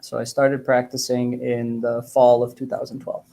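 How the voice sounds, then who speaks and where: Mellow-voiced man in his thirties. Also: medium pitch, completely flat delivery, normal speed, gravelly. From Bosnia, grew up in Germany and the United States. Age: 30s. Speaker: guest, dentist. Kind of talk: casual interview answers.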